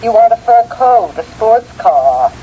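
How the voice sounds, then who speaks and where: An actress playing a wealthy, skeptical sister with a curt, dry, suspicious manner in an old-time radio drama. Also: female voice, fairly high pitch, sing-song, a little slow. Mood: suspicious, scornful. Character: skeptical, brusque, wealthy sister woken at midnight. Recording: dull, hissy old radio recording.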